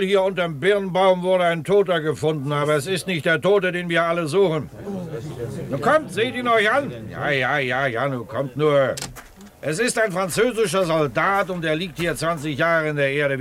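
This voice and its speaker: An actor playing a formal, reasonable court counsellor with a commanding manner in a German radio drama. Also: male voice, on the high side, expressive, moderate pace, fairly bright tone. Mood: declaiming. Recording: noisy background ambience.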